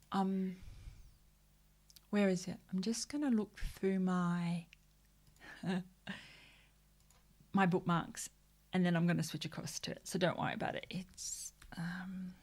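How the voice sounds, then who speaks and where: A woman's voice: fairly low pitch, breathy edge. Chatty woman hosting a livestream. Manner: chatty, mutters asides to herself while searching; exasperated, joking rants. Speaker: host of a social media news livestream. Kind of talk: casual livestream news commentary.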